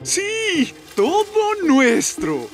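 A man's voice: very high voice, huge pitch swings, drawn-out words, loud music behind the voice, exaggerated voice. A man voicing a greedy, eager hunter's sidekick in a children's cartoon. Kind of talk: animated children's cartoon acting.

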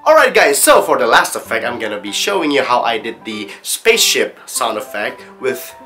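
A young adult man talking casually to camera as a tutorial host, in an animated, jokey, excitable manner. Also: mid-range voice, normal speed, faint music behind. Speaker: host of video-effects tutorials. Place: small indoor studio room.

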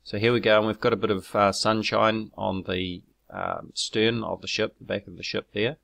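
A man's voice: lowish voice, level tone, creaky, fairly bright tone. A man narrating over his own footage, explaining step by step with frequent ums and restarts, turning argumentative when rebutting critics. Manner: measured and explanatory, with frequent ums and self-corrections. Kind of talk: narrated screen walkthrough.

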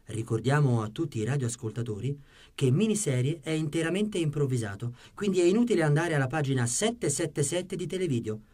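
A man's voice: on the high side, lively intonation, a little fast, a little mellow. A man hosting a radio show in a scripted announcer style, presenting and addressing listeners.

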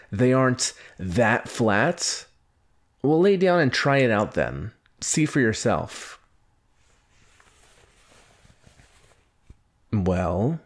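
A brash young anime hero, acted out by a man in an intimate ASMR roleplay. His voice sits fairly low and moves slowly. He is gruff, huffy and defensive, halting as he covers up a soft, scared side. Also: full character voice. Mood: indignant.

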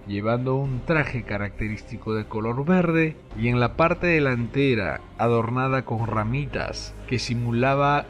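A man giving scripted narration for an educational radio drama. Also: medium pitch.

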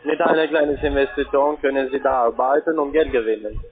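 A man who is not a native German speaker, giving a sales pitch as a cold caller. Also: fairly even intonation, a little fast. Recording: tinny phone line.

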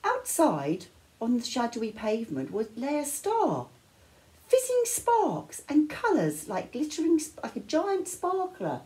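An older woman reading a children's bedtime story aloud with measured, dramatic phrasing and pauses. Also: sits high, wildly swooping pitch, warm tone. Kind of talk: expressive picture-book read-aloud. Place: small living room, indoors.

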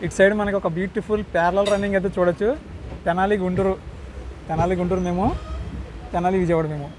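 Male travel vlogger, Andhra Telugu accent, high-pitched and chatty, casual delivery over clear train and outdoor noise. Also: flat delivery, quick pace. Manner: chatty, addresses viewers directly. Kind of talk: casual travel vlog commentary. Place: on board a moving train. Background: train rumble and outdoor ambience.

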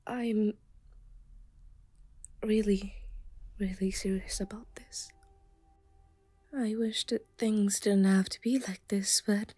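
Woman acting obsessive yandere anime girl, soft whispery ASMR delivery, dark mellow tone, hesitant stammer, very slow, pleading. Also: on the high side. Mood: anxious, pleading. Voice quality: soft, breathy, often whispering. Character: obsessive, self-aware yandere anime schoolgirl, shy and apologetic. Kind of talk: ASMR roleplay.

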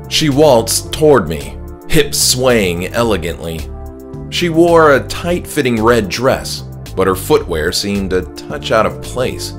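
A man reading a scripted first-person horror story as an audiobook narrator, in a measured, dramatic way. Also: lowish voice, a bit bright. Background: music.

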